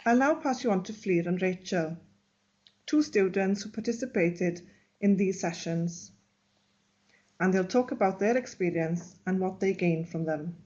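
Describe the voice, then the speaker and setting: A woman teacher reading out a webinar presentation in a measured, instructional way. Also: fairly low pitch, a little mellow, lo-fi sound. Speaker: Welsh language teacher. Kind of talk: read-aloud webinar presentation.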